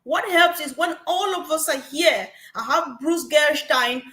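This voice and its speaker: High-pitched woman, echoey room. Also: measured pace. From West Africa. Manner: animated, repetitive, laughing asides. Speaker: guest speaker. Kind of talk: passionate testimony at a gathering.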